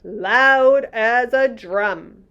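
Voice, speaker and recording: A woman's voice: on the high side, extremely slow, a bit bright, clean tone, highs cut off, voice pushed up, heavily acted. A woman voicing a big friendly old male giant who talks earnestly in muddled grammar. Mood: confiding.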